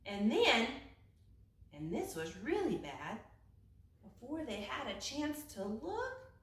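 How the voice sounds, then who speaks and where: A woman reading a children's picture book aloud like a teacher at storytime, with dramatic hushed and raised phrases. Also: on the high side, very animated, slow pace, slight background noise. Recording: echoey room, distant from the mic.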